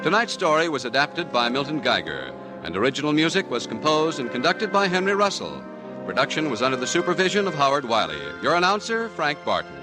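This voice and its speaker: A man's voice: a little high, very animated, fairly quick. An American man reading a scripted announcement in the style of a 1940s radio announcer. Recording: old radio broadcast with music behind.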